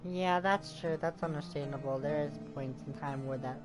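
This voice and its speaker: Female game streamer, low register, casual self-talk over loud music. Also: moderate pace. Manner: talks to herself and to game characters. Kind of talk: casual gameplay commentary.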